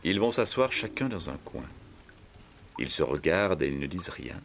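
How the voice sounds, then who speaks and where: A man narrating a scripted children's story in measured, simple sentences. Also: rumbling low, very animated, gravelly, a little hiss or hum.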